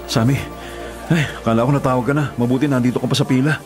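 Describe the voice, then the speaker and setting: An actor playing a weary, devoted young Filipino delivery rider and elder brother in a radio drama, with an earnest, sighing delivery. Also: male voice, mid-range voice, fairly even intonation, fast, a little mellow, natural voice. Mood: caring. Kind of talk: Tagalog radio drama acting. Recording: music bed behind the voice.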